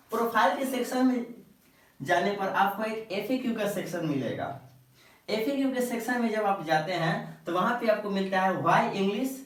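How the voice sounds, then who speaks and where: Echoey male teacher drilling phrases. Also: high-pitched. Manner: drills by repeating sentences and asking rhetorical questions; keeps checking in with the listener. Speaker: English teacher. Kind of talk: explaining a grammar lesson. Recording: very echoey room, voice far from the mic.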